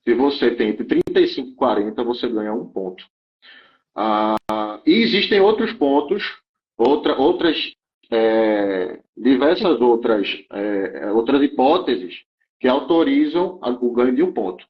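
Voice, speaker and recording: A man walks through a set of rules in an interview setting at a steady, middling pitch. He keeps checking that his listener follows and often restarts half-finished phrases. The sound is a little dull and muffled. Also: a little slow. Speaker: guest explaining German immigration rules. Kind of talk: explanatory interview talk.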